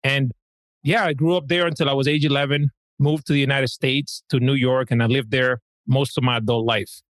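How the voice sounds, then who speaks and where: A man from the Dominican Republic, a guest on a podcast, telling his story in an easygoing, warm way. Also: medium pitch, fairly even intonation, fairly quick, dry recording, low-quality recording. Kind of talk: casual interview chat.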